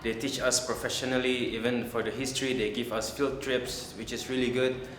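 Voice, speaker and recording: A man's voice: medium pitch, little pitch movement, brisk, a bit bright, a little hiss or hum. A man from Brunei, a culinary student who rambles and repeats words in an interview testimonial. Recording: echoey room with background music.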